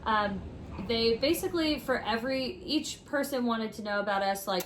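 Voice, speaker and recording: A woman's voice: on the high side, moderate pace, a little hiss or hum. A woman in her thirties chatting casually as co-host, teasing and scattering fillers. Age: 30s. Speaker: co-host of a couple's channel. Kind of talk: casual chat.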